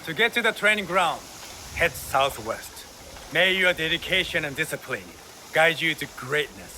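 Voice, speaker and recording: A man's voice: on the high side, expressive, a little slow, sharp tone, slight background noise, full character voice, rough recording. A man playing a grandiose, zealous samurai recruiter in a video game cutscene, talking in a florid, ingratiating, theatrical way. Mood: rallying.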